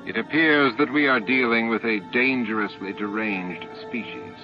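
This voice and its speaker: A measured, falsely regretful alien commander, voiced by a male audiobook reader, speaks formally to his hive. The recording is muffled, with faint music behind. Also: mid-range voice, close to an everyday voice. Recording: dull lo-fi tape audio with music.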